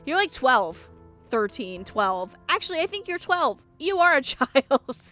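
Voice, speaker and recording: A woman's voice: sits high, sing-song, a little slow, bright, forceful tone, soft background music. A woman streamer playing a visual novel, reading it out and chatting casually with playful reactions. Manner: playful, reacts aloud to the story. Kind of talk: voicing game dialogue aloud with casual asides.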